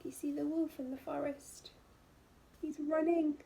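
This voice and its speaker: Female primary teacher, high and lively, slow storytime read-aloud with hushed asides to young listeners. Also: gravelly, slight background noise. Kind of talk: expressive picture-book read-aloud for young children.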